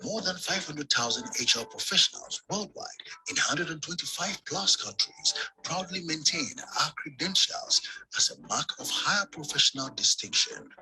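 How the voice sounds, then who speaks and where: A man's voice: fairly high pitch, expressive, normal speed, poor audio. Man reading a polished promo ad. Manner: polished, persuasive. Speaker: advertisement voice-over. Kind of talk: promotional ad read.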